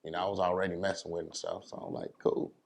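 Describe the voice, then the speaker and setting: A middle-aged American man with a fairly low voice talks casually in an interview. He is laid-back and plain-spoken, like a DJ recounting his working life. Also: flat delivery, fast, creaky, breathy edge. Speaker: DJ, interview guest.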